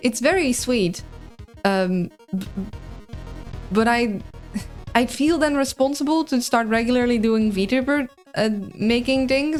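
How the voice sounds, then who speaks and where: A woman with a mild, mixed Dutch accent, streaming a game in casual chat and thinking aloud hesitantly. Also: on the high side. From Netherlands. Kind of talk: casual livestream chat and puzzle-game commentary.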